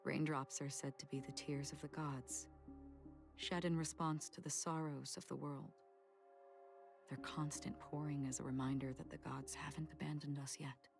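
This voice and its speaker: A woman playing a calm, solemn, mysterious exiled swordswoman in a game, speaking in a measured, polite, formal way. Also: sits low, gravelly. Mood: solemn, reflective. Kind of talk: scripted game voice acting. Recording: music behind the voice.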